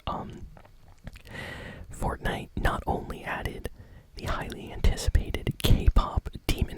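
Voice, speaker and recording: A man whispering a gaming news roundup as an ASMR host, with frequent fillers and repeated words. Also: low-quality recording.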